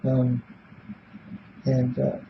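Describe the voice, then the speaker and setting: Elderly male interviewee, seventies, mid-pitched, rambling and halting with repeated words; muffled, noisy room tape. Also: monotone, gravelly. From born in Belfast, Northern Ireland; raised in Illinois from age seven. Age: 70s. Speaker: interviewee in a police polygraph interview. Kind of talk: casual, rambling spoken answers. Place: small police interview room.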